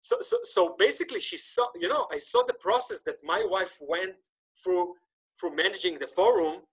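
A man in his thirties, native Hebrew speaker, hosting a casual podcast chat over a webcam. His voice sits a little high for a man, and he talks in an animated way, often stumbling and restarting his sentences. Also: moderate pace, gravelly, husky, low-quality recording. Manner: animated, gesturing, many restarts. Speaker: podcast host. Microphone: video call webcam.

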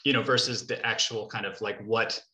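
A man's voice: on the low side, steady tone, moderate pace, bright, forceful tone. A man in his thirties moderating a Q&A over a video call from a room at home. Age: 30s. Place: living room, indoors. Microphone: computer mic on a video call. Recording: roomy, echoing video-call sound.

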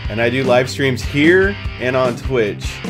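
A man's voice: medium pitch, expressive, measured pace, music in the background. A man in his thirties giving a casual, playful screen-recorded streaming tutorial in a goofy, chatty way. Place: small home room with gaming setup, indoors.

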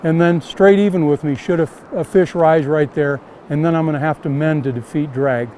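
Older male instructor with a high voice, outdoors in a river on a lapel mic, faint background hum, repeating simple casting steps. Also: fairly even intonation, moderate pace, a little mellow. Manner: plain, repetitive step-by-step instruction. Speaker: fly fishing instructor.

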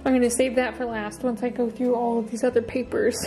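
Chatty, higher-voiced woman vlogging. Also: flat delivery, brisk, warm tone. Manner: chatty, upbeat. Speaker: vlogger, host. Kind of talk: casual home vlog narration and chat. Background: music.

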